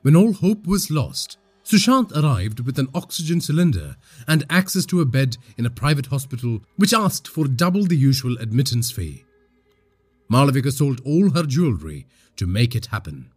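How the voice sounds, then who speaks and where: A man from India narrating a scripted horror story in a husky voice, with dramatic, suspenseful delivery. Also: medium pitch, sing-song, moderate pace, faint music behind.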